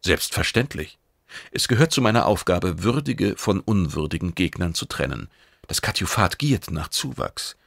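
A man voicing a spy who poses as an eager, cheeky oracle page in a science fiction audiobook, speaking calmly and formally with probing intent. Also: low-pitched, moderate pace, vocal fry, no echo, clean studio sound, full character voice. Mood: cool, assured.

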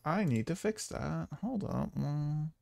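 A man's voice: fairly high pitch, lively intonation, slow pace, creaky, a little mellow, a little hiss or hum. A man chatting casually to viewers as a game streamer, rambling along and swearing now and then. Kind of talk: casual livestream chat to viewers.

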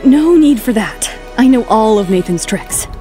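Woman acting high-voiced, cool, defiant heroine. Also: expressive, unhurried, warm tone, faint music behind. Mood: composed. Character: cool, defiant woman model, composed and cutting. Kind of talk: audio drama acting.

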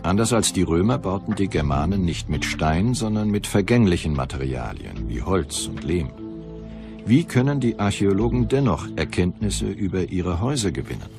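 A man narrating a scripted historical documentary in a measured, serious and dramatic manner. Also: sits low, very animated, vocal fry. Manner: measured, serious, dramatic present-tense telling. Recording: music behind the voice. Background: music bed.

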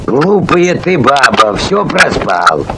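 An actor playing a grumpy, blustering peasant husband in an animated folk tale, drawling and exclaiming as he grumbles. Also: medium pitch, takes it slowly, heavy background noise. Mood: angry, blustering. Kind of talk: animated cartoon acting. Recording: old cartoon soundtrack with loud music.

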